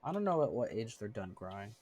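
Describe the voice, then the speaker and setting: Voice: medium pitch, sing-song, quick pace, a little mellow. A streamer in their thirties chatting casually with viewers while playing a game, laughing often and using many fillers. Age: 30s.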